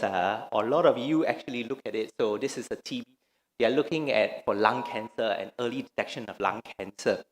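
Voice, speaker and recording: A man's voice: medium pitch, fairly bright tone. Malaysian man lecturing in a big hall. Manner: hesitant, many restarts and fillers. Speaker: conference speaker. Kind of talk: conference talk. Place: large conference hall.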